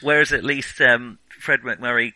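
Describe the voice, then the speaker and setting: A man's voice: mid-range voice, fairly even intonation, measured pace, bright, forceful tone, no room sound, poor audio. A man co-hosting a film podcast in casual discussion, thinking aloud in hesitant, broken phrases.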